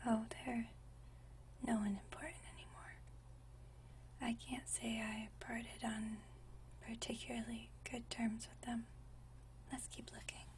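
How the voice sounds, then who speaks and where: A woman playing a gentle, nostalgic century-old vampire in a whispered ASMR roleplay, speaking softly and intimately with unhurried, genteel old-fashioned phrasing. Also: a little high, little pitch movement, recorded from a distance, a little hiss or hum, close to an everyday voice. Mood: quietly reminiscing. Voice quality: soft breathy whisper.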